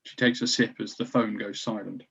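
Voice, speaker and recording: A man's voice: on the low side, flat delivery, moderate pace, gravelly, fairly bright tone, husky, muffled recording. A man reading stage directions as scripted narration for a screenplay table read.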